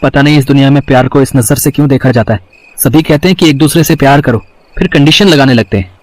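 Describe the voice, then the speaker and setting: A man's voice: mid-range voice, quick pace, no echo, natural voice. A man acting a lovestruck young male student in a dramatized story, earnest, hesitant and pleading. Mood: pleading, insistent.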